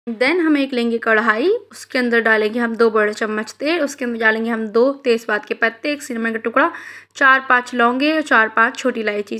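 A woman's voice: a little high, bright, forceful tone. A woman hosting a home cooking channel, talking viewers through a recipe step by step and speaking to them directly. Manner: explains each step, addresses viewers directly.

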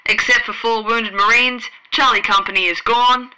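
A woman's voice: fairly high pitch, fairly even intonation, moderate pace, a crowd in the background, lightly acted, rough recording. A woman voicing a tense, clipped male super-soldier squad leader speaking over the radio. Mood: controlled. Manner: terse military radio talk.

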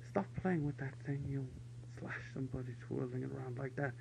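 A man doing a gruff bossy soldier. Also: mid-range voice, steady tone, a little fast, a little mellow, lightly acted. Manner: gruff, ordering about. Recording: dull lo-fi recording with faint hum.

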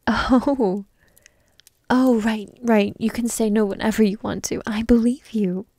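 A woman playing a young dragon princess in an ASMR roleplay, coy, teasing and lovestruck, half-whispering in a soft, intimate, breathy way. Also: a little high, steady tone, unhurried, no room sound, clean studio sound. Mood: playful teasing.

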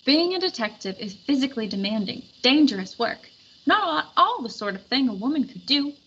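Blunt detective boss read by a bright-voiced woman. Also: sits high, very animated, a little fast, husky, heavily acted. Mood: disapproving. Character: sceptical, gruff male detective agency boss. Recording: dull lo-fi recording with background noise.

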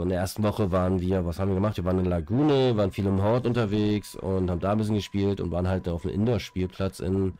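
A man's voice: lowish voice, a little mellow. A young man with a stuffy nose from a cold, chatting casually on a headset as he plays a game and rambles with fillers and repeated words. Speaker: gaming streamer. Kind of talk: casual chat while playing.

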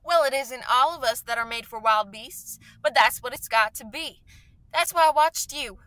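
A woman as a fervent, high-pitched scheming survivor soldier. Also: normal speed, pushed and bright, dry recording. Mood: scornful, bitter. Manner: insistent, folksy slangy talk. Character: fervent, scheming artilleryman survivor, hungry for power. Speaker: actor in a radio play. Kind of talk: radio play acting.

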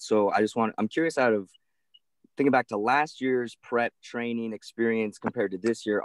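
A male reporter asks questions over a video call, his voice on the low side. The sound is dry and close. He phrases his questions in a friendly, rambling way. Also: no room sound. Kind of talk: press conference questions.

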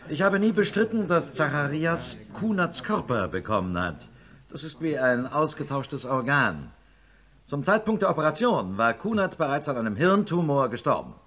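An actor playing a principled, educated neurosurgeon in a German radio play, emphatic and argumentative. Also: male voice, fairly high pitch, very animated, moderate pace, a bit bright. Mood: insistent. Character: defiant male neurosurgeon professor, indignant, principled, also narrating wearily. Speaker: lead character and narrator.

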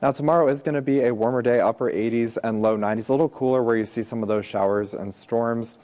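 A man's voice: mid-range voice, steady tone, fast, dark tone. An American man giving a weather forecast on television in a conversational broadcast style.

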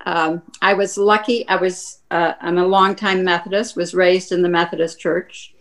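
An older woman from Tennessee speaks softly and reflectively in a lowish voice. She sits close to a laptop webcam at home, telling her story with earnest care. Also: little pitch movement, moderate pace. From Tennessee, USA. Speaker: panelist, activist. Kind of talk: video call panel introduction. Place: home room.

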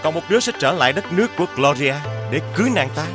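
Cartoon villain prince, male actor: greedy, mocking, treacherous, voice a little high, over clear music. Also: lively intonation, racing, natural voice. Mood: smug. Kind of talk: dubbed animated fairy tale.

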